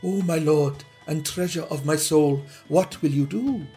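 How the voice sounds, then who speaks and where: A man's voice: fairly high pitch, unhurried, dark tone, faint music behind. A man doing a tender, devoted queen. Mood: pleading. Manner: tender, earnest. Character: devoted, beautiful young queen.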